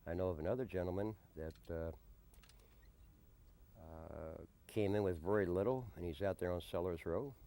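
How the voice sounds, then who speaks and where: A man being interviewed in a conversational documentary, speaking deliberately and often repeating a question back before answering. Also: sits low, dark tone. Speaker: interviewee, desert camp resident and odd-job handyman. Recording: noisy early-90s amateur documentary tape.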